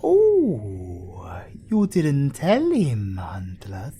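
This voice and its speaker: An actor playing a suave, teasing male vampire leader with a British accent and a breathy, theatrical, flirtatious drawl in an audio roleplay. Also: medium pitch, wildly swooping pitch, very slow, warm tone, full character voice. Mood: gleeful needling.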